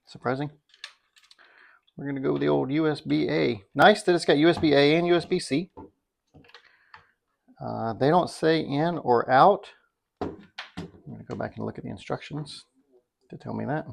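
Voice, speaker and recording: Gravelly-voiced man, casual product reviewer. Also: fairly high pitch, normal speed, long pauses. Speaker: product reviewer, video host.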